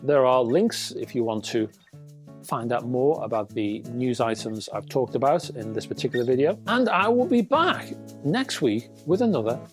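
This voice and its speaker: Man presenting with very lively, swooping intonation. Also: mid-range voice, normal speed, faint music behind. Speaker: host of a hi-fi news show. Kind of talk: scripted presenting to viewers with asides.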